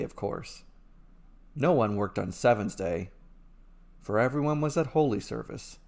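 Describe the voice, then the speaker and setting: A man reading a scripted fairy tale as a storyteller, in measured storybook phrasing with short dramatic sentences. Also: mid-range voice, gravelly, a little mellow.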